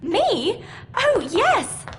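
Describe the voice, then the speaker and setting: Earnest schoolgirl witch for a game: high, lively, eager. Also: very slow, a little hiss or hum, exaggerated voice. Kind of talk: video game voice acting.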